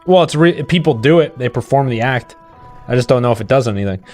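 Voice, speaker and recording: Male stream co-host, average pitch, informal and opinionated, faint music in the background. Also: lively intonation, fast talker, darkish tone. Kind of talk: casual chat about horror films. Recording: music playing behind the voice.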